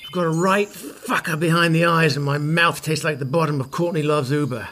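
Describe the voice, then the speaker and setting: Man playing a pompous high-voiced washed-up rock star. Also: fairly quick, pushed and bright. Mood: groggy sarcasm. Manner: pompous, petulant. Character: vain washed-up rock star, grandiose, hungover, sarcastic. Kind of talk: scripted audio comedy acting.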